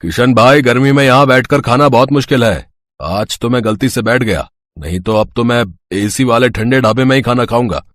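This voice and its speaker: Disgruntled eatery customer, male actor, moral tale; blunt, complaining, average pitch. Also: normal speed, fairly bright tone, no echo, close to an everyday voice. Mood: grumbling. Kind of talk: dramatised moral story acting.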